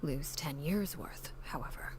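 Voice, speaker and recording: A woman's voice: deep voice, a little slow. A woman playing a composed, menacing leader in a video game, speaking formally and measuredly with whispery edges. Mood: calm, explaining. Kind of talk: scripted video game voice acting.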